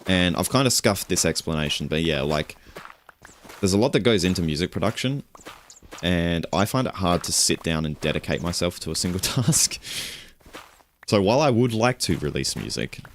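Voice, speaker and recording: A young man streaming and chatting casually. He rambles in a relaxed way, with little chuckles and self-corrections. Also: moderate pace, a little hiss or hum. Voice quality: low voice. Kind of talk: casual stream chat while gaming.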